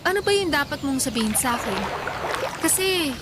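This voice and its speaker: A woman's voice: high-pitched, expressive, moderate pace, very noisy. A woman playing a hardworking young woman and protective older sister who lectures firmly, in a radio-style drama. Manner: lecturing, firm. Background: music.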